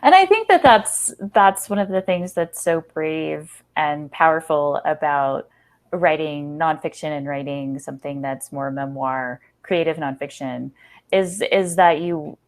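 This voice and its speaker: A woman's voice: low voice, lively intonation, measured pace. A woman hosting a podcast in earnest, reflective conversation, building long thoughts and rephrasing as she goes. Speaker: podcast host.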